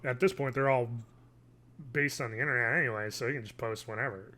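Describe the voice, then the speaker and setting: A man's voice: medium pitch, quick pace, crowd noise. A man in his thirties talking casually and conversationally as a podcast co-host, speaking from a room at home on a video call. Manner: informal, conversational. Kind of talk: casual chat, reviewing TV shows.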